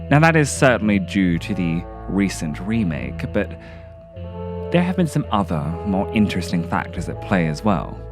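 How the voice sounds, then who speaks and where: Male essay narrator, low register, theatrical and measured phrasing, scripted read over a clear music bed. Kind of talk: scripted narration, dramatic horror-tinged monologue and video essay.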